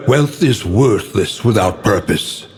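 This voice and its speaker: A man's voice: lowish voice, animated, takes it slowly. An actor playing a grave, weary, learned older man who narrates a gothic horror game with solemn, ominous, theatrical diction. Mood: grave, measured. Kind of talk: dramatic scripted gothic narration. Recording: long reverberant hall echo.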